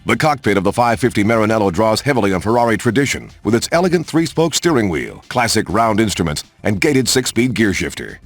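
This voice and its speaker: A man reading scripted showcase narration in a polished, measured announcer manner. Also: fairly low pitch, very animated, no room sound, faint music behind.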